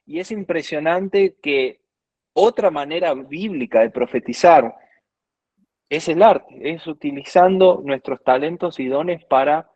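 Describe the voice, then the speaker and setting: A man's voice: a little high, a little slow, darkish tone, no room sound, lo-fi sound. A man from Argentina with an Argentine accent, a guest worship minister teaching earnestly in conversation and quoting scripture at length. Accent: Argentine Spanish.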